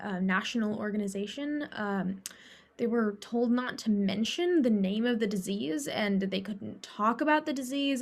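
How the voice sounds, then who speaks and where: A woman's voice: mid-range voice, lightly acted. A woman acting in a documentary play as a concerned, thoughtful speaker who explains things hesitantly. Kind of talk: documentary theater, acted as group discussion.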